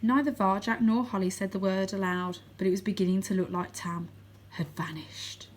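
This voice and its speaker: A woman's voice: medium pitch, fairly even intonation, normal speed. Woman reading a children's story expressively. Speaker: storybook reader. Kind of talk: expressive children's book narration.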